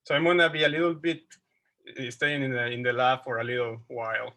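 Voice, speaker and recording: A young man with a Mexican Spanish accent presents over a computer microphone on a video call. His voice is bright and forward. He speaks informally, restarts his sentences often, and stops to fix his wording. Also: medium pitch, flat delivery, a little fast. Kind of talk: casual slideshow talk over video call. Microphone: computer mic on a video call.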